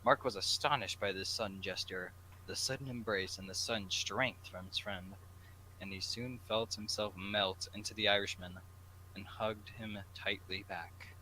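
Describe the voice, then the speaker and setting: A man's voice: fairly low pitch, fairly even intonation, moderate pace, bright, forceful tone, a little hiss or hum, rough recording. A man reading a story aloud as an amateur narrator. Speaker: fanfic reader.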